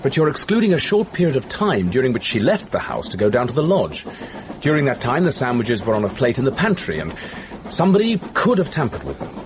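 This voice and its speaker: An actor playing an earnest, educated English gentleman with a British accent, exact and emphatic in a radio drama. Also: mid-range voice, huge pitch swings, brisk, noisy background. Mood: earnest, matter-of-fact.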